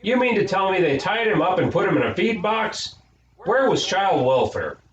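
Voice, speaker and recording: A man's voice: sits high, very animated, moderate pace, voice pushed up. A man voicing a bossy, outraged tough schoolgirl with a brash, indignant manner in a storybook reading. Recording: echoey room, distant mic, noisy, dull.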